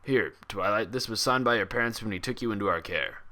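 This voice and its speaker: A man voicing a composed male unicorn doctor in a story reading, measured, patient and authoritative. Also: on the low side, pushed and bright. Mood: measured, probing.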